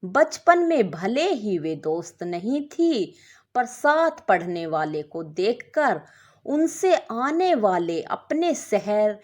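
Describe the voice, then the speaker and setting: Slow, high-voiced woman telling a story. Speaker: storyteller and channel host. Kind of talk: expressive story narration.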